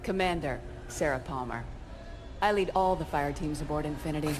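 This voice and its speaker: An actress playing a confident, wry military commander in a game film, with cool, teasing authority. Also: female voice, deep voice, moderate pace, noisy background, close to an everyday voice. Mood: assured. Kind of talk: cinematic game acting. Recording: music behind dialogue.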